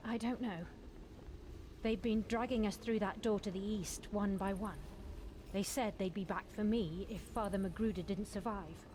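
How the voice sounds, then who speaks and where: A woman's voice: mid-range voice, fairly even intonation, moderate pace, lightly acted. Woman acting earnest rescued nun over background noise. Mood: sombre, earnest. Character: gentle young nun, grateful rescued captive. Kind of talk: scripted fantasy game acting.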